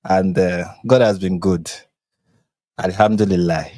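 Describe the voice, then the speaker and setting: A man's voice: low voice, steady tone, a little slow, mellow, no echo, clean studio sound. A man with a Nigerian accent, hosting a casual live stream and laughing often as he chats. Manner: laughs often, chatty and playful. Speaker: host.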